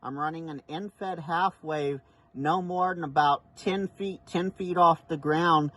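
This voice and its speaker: A man's voice: a little high, steady tone, unhurried, fairly bright tone, no room sound. A man working as a ham radio operator, making contact calls and repeating key details for emphasis. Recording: faint background noise.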